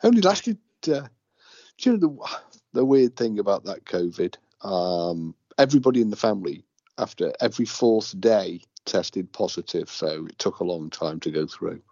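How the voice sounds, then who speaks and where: Laid-back man from the UK, low gravelly voice, dull dry recording. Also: animated, normal speed, dark tone, no echo. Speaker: radio co-host. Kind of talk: casual radio chat.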